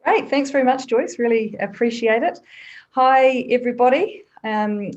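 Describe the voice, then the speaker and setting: A woman with a New Zealand accent giving an online workshop presentation, chatty and quick, with many fillers and restarts. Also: fairly high pitch, a little mellow, highs cut off. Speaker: guest presenter.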